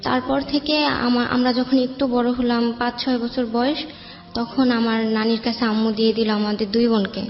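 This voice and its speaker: A woman's voice: on the high side, little pitch movement, moderate pace. A woman from Bangladesh with a Bangladeshi accent, telling her personal life story as an interview guest. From Bangladesh, raised in Dhaka. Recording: muffled, echoey room with music bed.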